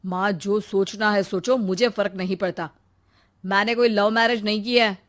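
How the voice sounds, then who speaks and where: A woman's voice: medium pitch, fairly even intonation, normal speed, heavy background noise, natural voice. A woman voicing a protective young married son in a cartoon story, earnest and protesting. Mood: protesting, pleading. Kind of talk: cartoon moral-story acting.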